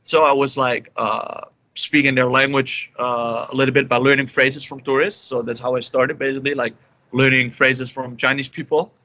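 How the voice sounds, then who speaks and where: Dutch guy, young adult, loose chatty interview answers full of fillers, bright tone, dry close sound. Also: medium pitch, level tone, normal speed, no room sound. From Netherlands. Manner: rambling, many fillers like "you know" and "like". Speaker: guest, language learner.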